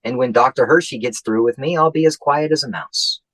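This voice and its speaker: An actor playing a grumpy, sleepy husband stuck in a hospital bed, complaining and hollering in a comic radio play. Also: male voice, medium pitch, fairly quick, fairly bright tone, no echo, close to an everyday voice, low-quality recording. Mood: grumbling.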